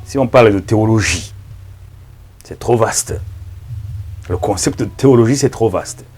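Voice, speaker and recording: A man with a Rwandan accent, a native Kinyarwanda speaker, preaching as a pastor in a halting, emphatic manner with repeated words. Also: fairly low pitch, darkish tone, slightly rough, soft background music. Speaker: pastor and evangelist. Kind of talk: preaching introduction to camera.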